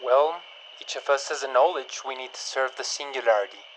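A man's voice: mid-range voice, fairly quick, a little hiss or hum, lightly acted, low-quality recording. A man playing a guarded, pedantic scientist in a dystopian audio drama, speaking stiffly and precisely, as if lecturing. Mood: evasive, dutiful.

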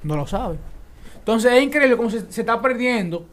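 A man's voice: very high voice, expressive, brisk. A young man with a Dominican accent hosting a casual group chat, joking and then speaking earnestly. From Dominican Republic. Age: young adult. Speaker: podcast host.

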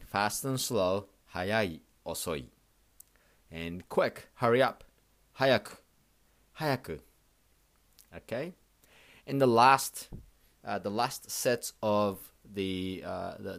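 Deep-voiced man teaching slowly. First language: Japanese. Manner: repeats words slowly for learners, frequent "okay?" checks. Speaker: podcast host teaching Japanese. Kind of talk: casual language lesson.

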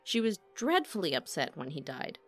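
Woman acting a chatty, brisk wardrobe mistress. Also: sits low, sing-song, soft background music. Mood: matter-of-fact chatty. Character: busy, gossipy, matter-of-fact opera wardrobe mistress. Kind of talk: audiobook character acting.

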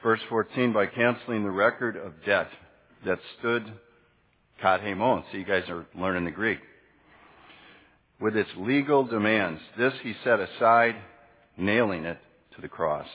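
A man's voice: fairly low pitch, slightly rough. A man preaching a sermon in a conversational, teacherly way, putting questions to his congregation and answering them himself. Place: large church hall, preaching to a congregation. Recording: echoey hall, dull lo-fi sound.

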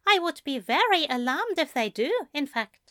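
A woman's voice: high voice, sing-song, moderate pace, sharp tone, full character voice. A woman voicing a fussy, prim male angel bookseller in an audio fan fiction drama, with wry, precise phrasing. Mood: wry, drowsy.

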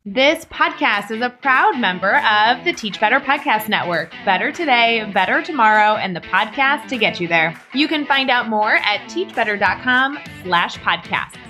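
Bright-voiced woman reading a promo. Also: on the high side, lively intonation, normal speed, hardly any pauses. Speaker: podcast network announcer. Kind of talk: scripted promotional voice-over. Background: faint music.

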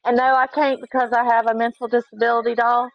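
Flirty teasing woman, 30s, kinda high voice, dry close sound. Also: flat delivery, fast, no room sound, dull audio. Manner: flirty, teasing, uses pet names. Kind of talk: casual flirty chat.

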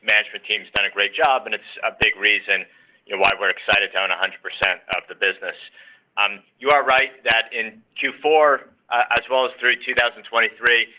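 A man's voice: lowish voice, steady tone, a little fast. A male company executive answering an analyst on an earnings call, heard over a phone line.

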